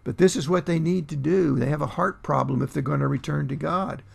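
An older man, a pastor in his sixties or older, preaching a sermon with emphatic, deliberate pauses and repeated phrases in a gravelly, husky voice. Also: a little high. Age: 60s or older. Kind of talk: sermon, expounding scripture to camera. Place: small furnished room at home, indoors.

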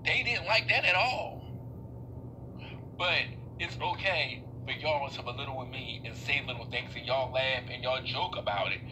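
A young man venting in a casual, profane monologue to a live stream, his voice swinging up and down as he talks. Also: moderate pace. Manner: animated, swearing, voice swinging up and down in pitch. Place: indoors in a workplace room. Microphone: phone held low at arm's length. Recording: phone live stream with clear background noise.

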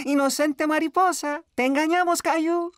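A woman's voice: high voice, fairly even intonation, a little slow. A woman voicing a playful, teasing young boy in a cartoon, with a bouncy manner and a Latin American accent.

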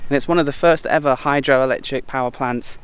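A man, chatting casually to a handheld camera as he travels, talking to animals in a high playful voice now and then. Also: fairly even intonation, brisk, clear, resonant tone. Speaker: travel vlogger. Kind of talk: casual travel vlog chat. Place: outdoors at a petting farm and a dam. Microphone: handheld camera at arm's length.

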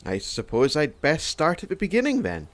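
A man's voice: on the high side, huge pitch swings, moderate pace. A man playing a small, sheepish young fantasy stowaway in an audio drama, hesitant and drawing out his words. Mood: calm, careful. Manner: hesitant, drawling out his account. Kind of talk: audio drama character acting.

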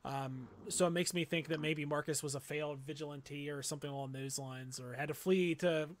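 Middle-aged podcast guy, bit high-pitched, jokey recap talk on a home mic. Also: fairly even intonation, a little fast, a little hiss or hum. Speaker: podcast co-host. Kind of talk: casual chat. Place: home room, indoors. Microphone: studio mic on a video call.

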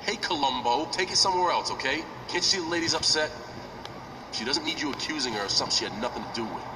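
A man's voice: on the high side, expressive, fast talker, lightly acted. An actor playing a brash young male wrestler who talks street slang in a video game cutscene. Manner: trash-talking street slang. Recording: distant, roomy, noisy game audio.

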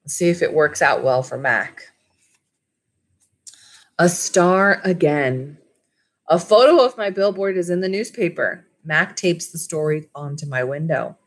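Low-voiced woman reading a storybook. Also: expressive, unhurried. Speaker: school librarian reading aloud. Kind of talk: storybook read-aloud with asides to children. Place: small wood-panelled room at home. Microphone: webcam or laptop at desk distance.

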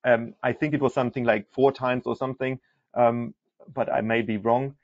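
A man's voice: mid-range voice, steady tone, normal speed, no echo. A man moderating a technical panel and speaking off the cuff. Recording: dull, lo-fi online call audio.

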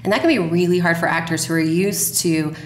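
A woman's voice: lowish voice, brisk. A woman speaking as a guest in a conversational podcast interview about her work. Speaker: podcast guest, radio drama producer. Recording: roomy echo, faint noise.

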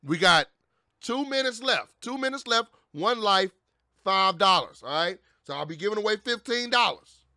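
A young man hosts a reaction video in casual slang. His voice is fairly high and bright, and he often repeats phrases into a close, dry mic. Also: lively intonation, moderate pace, no echo. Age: young adult. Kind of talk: casual, animated chat. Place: small indoor streaming room. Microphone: close boom-arm microphone.

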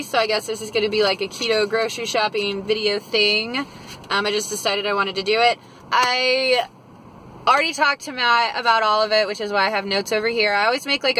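Bright-voiced young woman, chatty vlog. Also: medium pitch, slight background noise, rough recording. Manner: chatty, rambling, lots of fillers. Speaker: vlogger. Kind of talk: casual grocery haul vlog. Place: parked car, then a home kitchen. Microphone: phone camera at arm's length.

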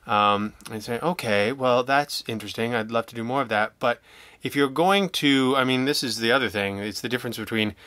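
A man in a casual interview, talking haltingly and self-deprecatingly as he acts out imagined remarks. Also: medium pitch, pushed and bright, dry recording. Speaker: guest, audio drama writer and producer.

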